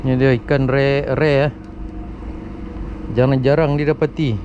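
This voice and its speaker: A man's voice: medium pitch, flat delivery, a little slow, highs cut off, background noise. A young man with a Malaysian Malay accent, chatting casually to viewers while fishing at night on a wooden sea platform, filmed on an action camera. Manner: upbeat, chatty, addresses viewers as guys. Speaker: fishing vlogger. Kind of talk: casual vlog commentary.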